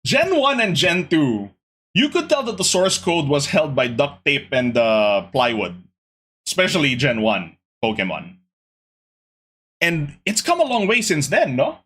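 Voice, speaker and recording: Young male podcast host, slightly high, husky delivery, animated casual rant to his chat. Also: a little slow. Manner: animated, exasperated ranting with laughs.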